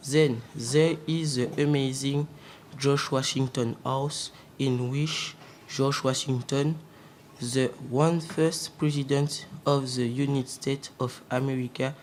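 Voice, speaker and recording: A man's voice: mid-range voice, darkish tone, slight background noise, low-quality recording. A teenage boy reading a short report aloud for a school radio show. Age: teens.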